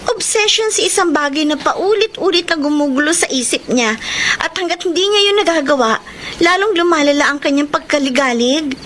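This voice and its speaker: A woman's voice: high-pitched, animated, fairly quick, fairly bright tone, slight background noise. A woman playing an earnest, studious young student in a Filipino radio drama. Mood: concerned, explanatory.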